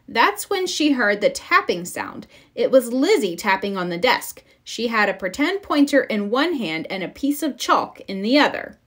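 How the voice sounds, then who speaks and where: Woman, children's storybook narrator, high voice, very animated intonation, expressive. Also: moderate pace, hardly any pauses. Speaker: storybook reader.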